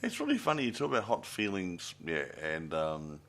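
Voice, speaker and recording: Man co-host, deep voice with a lively, swooping pitch, offhand jokey banter. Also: normal speed. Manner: offhand, jokey, trails off with "yeah, whatever". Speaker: podcast co-host. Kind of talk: casual chat.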